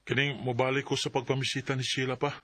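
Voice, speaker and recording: A man's voice: medium pitch, flat delivery, fast, a bit bright, no echo, lightly acted. A Filipino man with a Bisaya accent playing an earnest, insecure young suitor in a radio drama, speaking hesitantly and pleadingly. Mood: eager.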